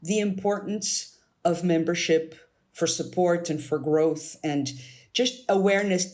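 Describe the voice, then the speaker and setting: Soft-spoken woman, candidate interview. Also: lowish voice. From Canada. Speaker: interview guest, board candidate. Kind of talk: video interview answers.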